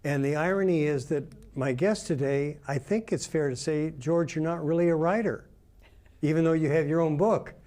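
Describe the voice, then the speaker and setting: A man's voice: fairly high pitch, normal speed, dark tone. Man hosting, hesitant and chatty. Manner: chatty, hesitant with many fillers. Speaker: host. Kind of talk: interview.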